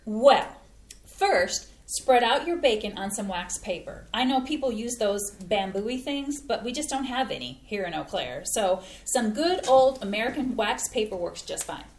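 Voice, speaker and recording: A woman's voice: on the high side, expressive, moderate pace, echoey room, natural voice. A woman reading the part of a cheerful mother who proudly explains her home recipes in a chatty, folksy way, in a community staged reading. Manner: chatty, folksy, eager to please. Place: small community playhouse stage.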